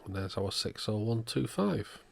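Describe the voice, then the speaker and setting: A man with a low voice and a strong creak talks through a hands-on repair. He goes methodically, one step after another, in the manner of an electronics technician showing his work. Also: very animated, a little slow. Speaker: electronics repairer, channel host. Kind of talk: hands-on repair demonstration narration.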